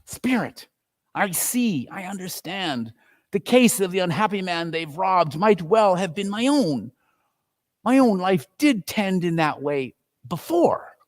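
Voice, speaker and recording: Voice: medium pitch, big pitch swings, a little slow. A performer voicing a humbled, fearful miserly man who implores earnestly in a dramatic reading. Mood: pleading.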